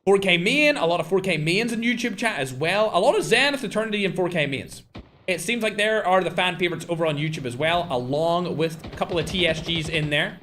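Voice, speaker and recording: Bright-voiced young man casting esports live. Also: sits high, brisk, a little hiss or hum. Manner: chatty, talks straight to chat, animated bursts. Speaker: tournament host and caster. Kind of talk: live-stream esports commentary.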